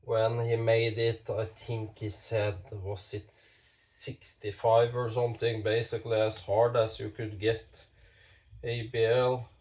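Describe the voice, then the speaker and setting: A man with a stuffy nose from a cold, talking slowly while demonstrating knife sharpening, with halting pauses and self-corrections. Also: fairly low pitch, level tone, darkish tone, far from the mic. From Norway. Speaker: knife sharpening channel host. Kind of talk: casual hands-on demonstration.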